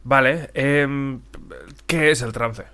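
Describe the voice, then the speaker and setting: A man's voice: medium pitch, slow, bright, forceful tone, smooth. A man from Spain with a slightly stuffy, congested nose, chatting casually as a podcast co-host. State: mild head cold. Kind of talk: casual conversational podcast chat.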